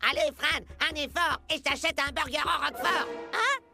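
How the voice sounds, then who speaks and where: A woman voicing a wheedling young football-fan kid in an animated cartoon dub. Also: high-pitched, brisk, creaky, exaggerated voice. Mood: pleading. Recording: loud music under the voice.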